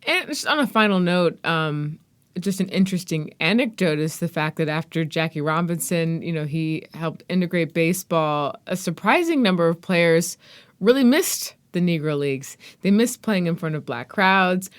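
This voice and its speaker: A woman's voice: fairly low pitch, animated, moderate pace. A woman hosting an interview podcast, chatting casually and responding encouragingly to her guest. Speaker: podcast host.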